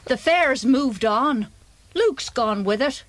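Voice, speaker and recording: Protective, indulgent mother, children's audio play: high voice, sing-song swings, chatty. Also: expressive, slow pace. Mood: chatty, fond. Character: fond, chatty, protective mother living in a caravan.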